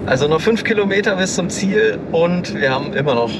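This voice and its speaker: A man's voice: high voice, expressive, a little fast. A man chatting casually as a passenger in a car, explaining things along the way. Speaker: car vlog co-host, passenger. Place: inside a moving car. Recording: clear road noise.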